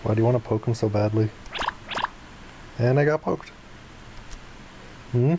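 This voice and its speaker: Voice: medium pitch, measured pace, noisy background. A let's player reading a visual novel aloud expressively and slipping in casual asides.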